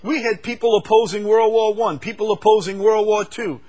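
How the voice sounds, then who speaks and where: An older man from the United States preaching a sermon, swinging from loud, fervent stretches to quiet asides. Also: high-pitched, fairly even intonation, normal speed.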